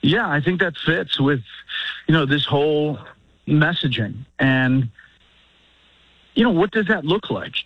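A man appearing as a guest news anchor, giving measured political analysis and thinking aloud with many fillers. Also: a little high, animated, husky, no room sound, highs cut off. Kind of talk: political analysis on talk radio.

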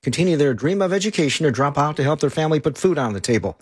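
Brisk male radio traffic reporter. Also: fairly high pitch, animated, slightly rough, no room sound, studio quality. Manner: brisk, clipped delivery. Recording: music bed underneath.

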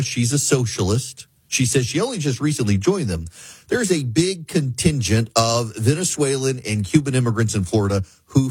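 A man's voice: medium pitch, darkish tone, husky, no room sound. A man from the American South hosting an opinionated political talk radio show, sarcastic and mocking.

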